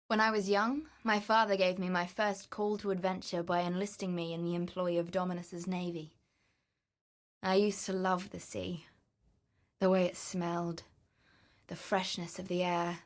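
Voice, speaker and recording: A woman's voice: fairly low pitch, steady tone, normal speed, natural voice. An actress playing an adventurous, greedy woman treasure hunter in a video game, confident, wry and theatrical. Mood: wistful, wry. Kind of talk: video game character voice lines.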